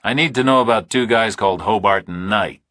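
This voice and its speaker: A man's voice: fairly low pitch, brisk, fairly bright tone, dry recording, close to an everyday voice. A man playing a tough ex-military investigator, clipped, dry and determined, in a thriller audiobook. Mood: intent.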